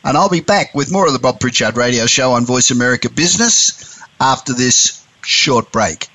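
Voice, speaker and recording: A man's voice: mid-range voice, animated, moderate pace, bright, forceful tone, no room sound. A man hosting a business radio show, asking questions and giving brief acknowledgements with friendly teasing. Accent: non-standard regional.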